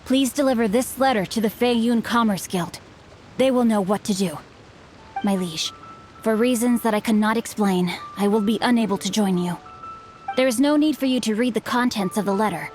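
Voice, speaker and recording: A woman plays a youthful male scholar-swordsman in a video game, at a medium pitch for a woman. The character is composed and formal, with courteous, lofty phrasing. Light music sits behind the voice. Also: moderate pace, darkish tone, natural voice. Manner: flowery, formal, courteous, evasive. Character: bookish, chivalrous young gentleman swordsman, male, youthful, composed.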